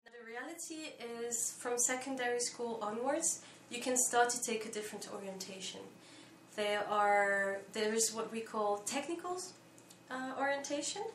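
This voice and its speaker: A woman in her late twenties from Belgium, answering interview questions in a thoughtful, measured way with frequent pauses. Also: on the high side, fairly bright tone, far from the mic. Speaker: interviewee, volunteer. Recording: echoey room, faint background noise.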